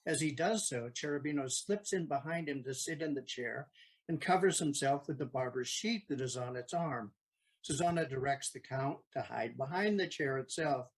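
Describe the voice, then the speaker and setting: A man's voice: medium pitch, normal speed, rough recording. A man reading scripted stage directions as the narrator of an audio play.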